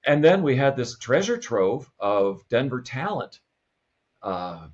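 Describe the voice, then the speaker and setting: An American man in a casual interview, reminiscing as a guest in a halting way with repeated words. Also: medium pitch, very animated, dull audio. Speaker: podcast guest, radio comedy writer and producer.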